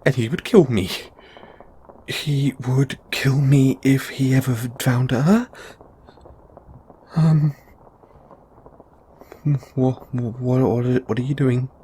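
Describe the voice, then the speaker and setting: A man's voice: mid-range voice, fairly even intonation, takes it slowly, pauses often, mellow, slight background noise, lightly acted. A man playing a kindly middle-aged father in an audio roleplay, nervous, awkward and stammering. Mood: flustered, uneasy.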